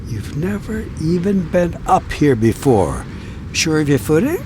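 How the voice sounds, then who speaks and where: Menacing rich man, swooping high-pitched voice. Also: big pitch swings, a little slow, noisy background. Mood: taunting, menacing. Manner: taunting, theatrical delivery. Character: menacing, jealous wealthy man with a murderous streak. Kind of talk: audio drama acting.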